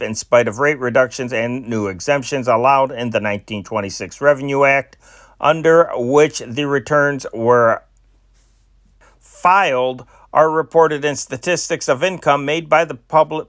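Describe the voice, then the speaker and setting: A man's voice: medium pitch, dry recording. Man reading old news, dry and close. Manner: measured reading with slips and restarts; dateline cities read in a rising, louder voice. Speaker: newsreader. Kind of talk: reading old newspaper stories aloud.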